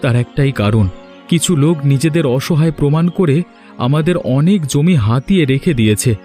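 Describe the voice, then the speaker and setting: A man's voice: a little high, lively intonation, normal speed, dark tone, lightly acted. A man voicing a landlord's grown son in a cartoon, earnest and grave, suspicious of the villagers. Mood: accusing, worried. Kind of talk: animated cartoon dialogue. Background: background music.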